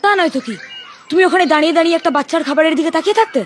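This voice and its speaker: A woman voicing a mischievous little boy in a cartoon, a teasing younger brother with a cheeky way of talking. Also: extremely high pitch. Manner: cheeky, quick, chattering. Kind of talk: cartoon voice acting. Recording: faint music behind the voice.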